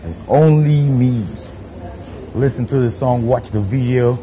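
A Nigerian man in his thirties talks to camera from a studio armchair, leaning on "you know" between thoughts. His voice sits at an ordinary male pitch, unhurried and easygoing. A steady background noise runs under the recording. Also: highs cut off. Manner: laid-back, frequent "you know" fillers. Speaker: DJ hosting his own video countdown. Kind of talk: relaxed seated talk to camera. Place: studio set, seated in armchair.

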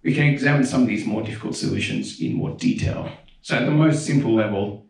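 A young man speaks to a conference audience in a relaxed, rambling way, often restarting his sentences. His voice sits low. He is recorded in a large, echoing concert hall, and the sound is picked up from some distance. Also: fairly quick, vocal fry, slight background noise. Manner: relaxed, chatty, with frequent fillers and restarts. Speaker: pianist giving a keynote talk. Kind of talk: conference lecture with video examples. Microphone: handheld mic. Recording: roomy hall sound, mic heard from a distance.

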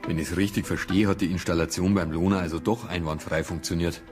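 An actor playing a methodical, probing police detective with a Bavarian accent in a radio crime drama. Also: male voice, low voice, steady tone, fairly quick, over music, lightly acted.

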